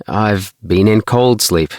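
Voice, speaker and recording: A man's voice: on the low side, slow pace, a little mellow, no echo, voice pushed up. A troubled young man travelling in secret, played by a male reader. He speaks in an earnest, reserved way, close and dry, as a character in a science fiction audiobook. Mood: guarded, explaining.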